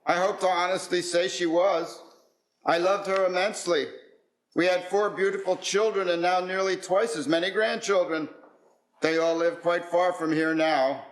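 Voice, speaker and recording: An actor playing a gentle, grateful elderly widower in a radio play, polite and earnest. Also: male voice, sits high, steady tone, normal speed, reverberant, natural voice, low-quality recording. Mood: wistful.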